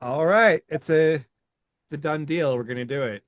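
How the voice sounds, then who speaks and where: A man co-hosting a podcast in casual chat, animated and laughing often, restarting his sentences as he talks. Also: fairly high pitch, unhurried, no room sound, studio quality. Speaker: podcast co-host.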